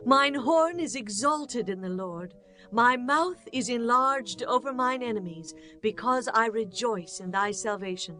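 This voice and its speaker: Actress as a devout biblical wife, higher-pitched voice. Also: female voice, bright, forceful tone. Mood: exultant praise. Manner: reverent, earnest, measured. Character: devout, sorrowful, earnest young wife of ancient Israel. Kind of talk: dramatized scripture reading. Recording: music bed behind the voice.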